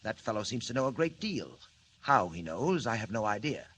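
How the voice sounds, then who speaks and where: Sneering villain boss, stiff formal phrasing, swooping pitch, faint hiss. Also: male voice, very animated, normal speed, fairly bright tone. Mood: scheming. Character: scheming, mocking male villain mastermind. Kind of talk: 1940s radio acting.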